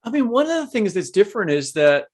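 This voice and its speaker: A man's voice: high voice, very animated, fast. A man co-hosting a podcast, asking questions in a casual, conversational way. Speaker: podcast co-host, writer.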